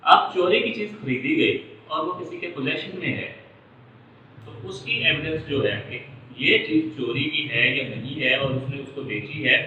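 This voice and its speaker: Sharp-voiced Pakistani man lecturing in echoey room. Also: fairly high pitch. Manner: repetitive, step-by-step explaining. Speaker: law lecturer. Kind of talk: explanatory lecture with worked examples. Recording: echoey room, distant mic, muffled, faint noise.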